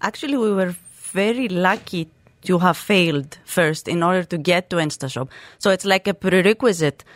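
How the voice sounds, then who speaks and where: A woman's voice: on the low side, moderate pace, no echo. A woman from Crete in her thirties, a guest in a radio studio interview, talking in a chatty way with lots of fillers and restarts. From Greece (Crete). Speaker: guest, startup co-founder.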